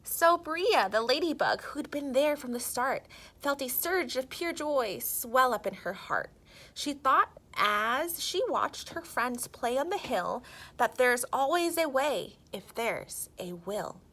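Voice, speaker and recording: Female picture-book narrator, unhurried, bright and highly animated, emphatic delivery. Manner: theatrical, emphatic. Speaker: children's story reader. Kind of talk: expressive scripted storybook narration.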